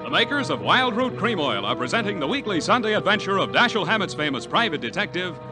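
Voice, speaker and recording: An American man announcing in 1940s radio style, reading a scripted sales pitch with polished emphasis. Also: very animated, fast talker, over music. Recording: old 1940s radio broadcast.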